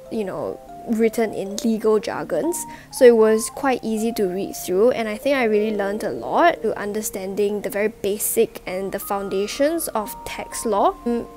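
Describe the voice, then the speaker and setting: A woman's voice: on the high side, darkish tone. A woman in her twenties with a flu-stuffed, nasal voice, chatting to camera about her law internship in a casual vlog, explaining things step by step with plenty of fillers. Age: 20s. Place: indoors, home room. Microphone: wired earphone mic.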